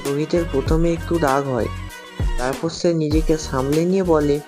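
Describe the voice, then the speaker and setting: A woman's voice: low voice, steady tone, normal speed. A woman reading scripted horror story narration as the storyteller. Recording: clear music under the narration.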